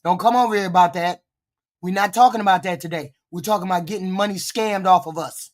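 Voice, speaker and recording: A streamer giving blunt, profane live reaction commentary, with a voice deepened by a cold and short of breath. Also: fast.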